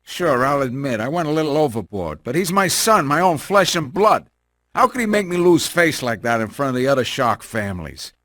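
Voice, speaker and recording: Forceful, proud shark mob boss. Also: male voice, medium pitch, big pitch swings, brisk, a little hiss or hum. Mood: indignant regret. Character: proud, hot-tempered shark crime boss father. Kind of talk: animated video game confessional monologue.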